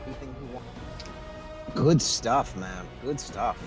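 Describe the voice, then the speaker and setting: A man's voice: medium pitch, unhurried, music in the background. A man in casual, chatty livestream banter, talking as a tabletop roleplay gamer.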